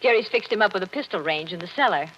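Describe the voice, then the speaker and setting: A woman's voice: mid-range voice, expressive, fast, fairly bright tone, slight background noise, lightly acted. A refined, brittle wife voiced by a woman talks in a mannered, wistful tone in a vintage radio mystery. Her mid-range voice comes through a dull, lo-fi recording. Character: troubled well-off wife, brittle and flirtatious. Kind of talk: 1940s radio acting.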